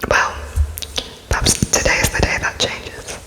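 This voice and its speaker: A woman speaks in a breathy whisper, very slowly and haltingly. She plays a polite, gentle interviewer in an ASMR roleplay, often pausing between words as though writing them down. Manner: hesitant, halting, dictating slowly as she writes notes. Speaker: ASMR performer playing an interviewer.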